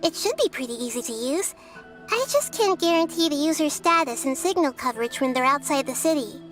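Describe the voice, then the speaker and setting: A small, clever goddess explains things patiently in a very high, childlike voice. Her tone stays calm and gentle. Music plays clearly under this video game dialogue. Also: brisk. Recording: game audio with music behind.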